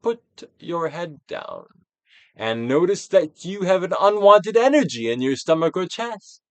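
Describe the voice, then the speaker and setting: A man's voice: high voice, very animated, no room sound. Theatrical male reader with sweeping, dramatic range. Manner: theatrical, Shakespearean delivery with deliberate pauses. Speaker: audiobook reader, actor. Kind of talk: dramatic self-help book reading, guided exercise.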